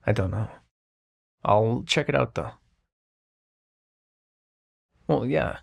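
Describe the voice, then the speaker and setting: Man as a gravelly, grumpy immortal dream-bringer. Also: medium pitch. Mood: awkward concern. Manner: brusque, exasperated, halting, softening into concern. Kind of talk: ASMR roleplay acting.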